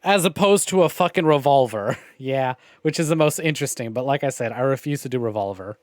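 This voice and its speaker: A man's voice: mid-range voice, animated, brisk, no room sound. A man giving casual, opinionated livestream commentary on a visual novel game, with wry, profane grumbling. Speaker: game streamer.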